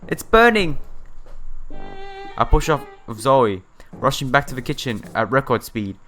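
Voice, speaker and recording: Male gameplay narrator, bright forceful voice, dramatic visual novel read with offhand asides, soft music underneath. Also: mid-range voice, normal speed. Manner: theatrical, breaks off into exclamations and asides. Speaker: let's player. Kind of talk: reading a visual novel aloud with casual asides.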